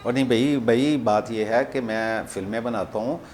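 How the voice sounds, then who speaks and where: A man with a Pakistani accent making a prank phone call, rambling and repeating himself in deliberately confusing improvised banter. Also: mid-range voice, a little mellow, slight background noise. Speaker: prank caller hosting a comedy show.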